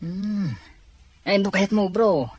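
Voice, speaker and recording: A man's voice: high-pitched, slow, darkish tone, slightly rough, dry recording, full character voice. A man playing a chummy, scheming buddy in a serial drama. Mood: chummy, conspiring. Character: scheming, chummy male buddy egging a friend on.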